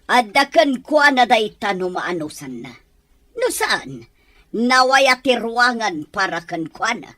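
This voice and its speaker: A scornful mother-in-law, lively pitch. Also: slow, dry recording, heavily acted. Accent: Ilocano. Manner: scornful, domineering. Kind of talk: Ilocano radio drama acting.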